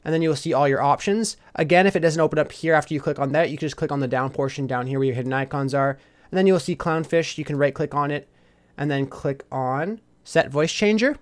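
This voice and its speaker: A man giving a casual step-by-step software tutorial as a voice-over, addressing viewers informally. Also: a little high, quick pace. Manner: instructional, addresses viewers informally as "you guys". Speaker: tutorial host.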